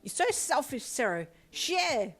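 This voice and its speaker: A woman voicing a lecherous adolescent boy with a screeching, high-pitched voice. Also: very animated, unhurried, full character voice. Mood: wheedling whine. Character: lecherous shrill adolescent boy, frantic and pleading.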